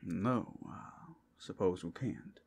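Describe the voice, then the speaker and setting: Man as reluctant werewolf, wry, easygoing, casual, husky, creaky, ASMR roleplay. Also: low-pitched, takes it slowly, darkish tone, heavily acted. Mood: wary, deferential.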